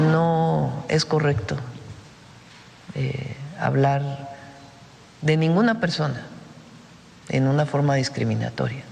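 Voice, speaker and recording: A man giving a halting, deliberate, measured answer at a press briefing. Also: on the high side, creaky, a little mellow. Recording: echoey hall, background ambient noise.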